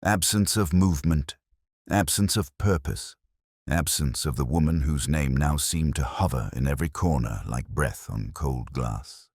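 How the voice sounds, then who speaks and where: A man narrating a scripted first-person detective mystery as a reflective, world-weary inspector, with a measured, brooding literary delivery. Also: rumbling low, husky, no room sound, studio quality.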